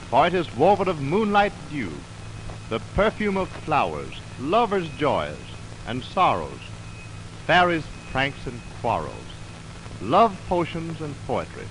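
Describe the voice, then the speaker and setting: 1920s American radio announcer, lively high-pitched man. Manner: formal, measured, declamatory delivery. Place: radio studio. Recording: noisy, scratchy 1929 radio recording.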